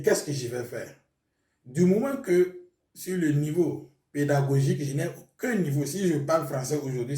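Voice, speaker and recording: A man's voice: medium pitch, warm tone, reverberant, distant mic. A man from Burkina Faso whose first language is Mooré, a pastor giving his testimony in a hesitant way with many fillers. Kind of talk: testimony interview.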